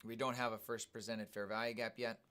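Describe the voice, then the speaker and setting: A man hosting a live day trading stream, calmly commenting on his trades and greeting viewers in the chat. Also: lowish voice, fairly quick, clean tone. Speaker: day trading livestream host.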